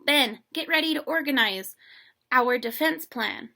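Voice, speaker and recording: Woman reading as an eager, bright-voiced schoolgirl. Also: high-pitched, measured pace, vocal fry, heavily acted. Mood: eager, determined. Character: eager schoolgirl taking charge of a germ mystery. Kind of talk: children's storybook dialogue.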